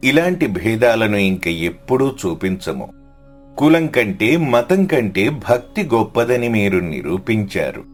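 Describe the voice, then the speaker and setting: A man acts out a haughty village fisherman from a moral tale, a blunt bully. His voice is fairly low, with faint music behind. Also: very animated, unhurried. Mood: repentant. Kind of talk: scripted story dialogue.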